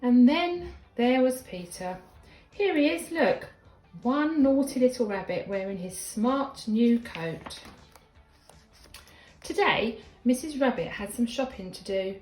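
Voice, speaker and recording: A woman, sounding like a schoolteacher, reads a children's story expressively, with deliberate, dramatic emphasis. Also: fairly high pitch, animated, a little mellow. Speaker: primary school teacher reading a story. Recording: echoey room with background music.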